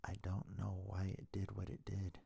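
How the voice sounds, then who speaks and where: A man softly telling a scary story in ASMR style, breathy and hushed, in short deliberate phrases close to the mic. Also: deep voice, completely flat delivery. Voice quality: breathy, whispery, husky. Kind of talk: ASMR soft-spoken scary story narration. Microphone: close mic, dry.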